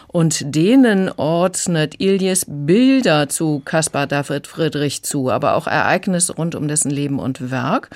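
A woman with a distinctly low voice talks through an audiobook review for radio. She explains things in an articulate, conversational way. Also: a little mellow.

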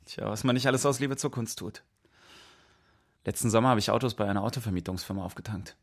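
A man playing a tipsy, bookish friend in a radio play, playful and stubborn, rambling slowly. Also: fairly low pitch. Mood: wry.

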